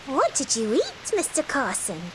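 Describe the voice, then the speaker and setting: Very high-voiced, earnest young lady. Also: wildly swooping pitch, normal speed, background noise. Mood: concerned, puzzled. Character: earnest, sheltered young noble lady, eager cook. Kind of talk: scripted game character acting. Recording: music behind the voice.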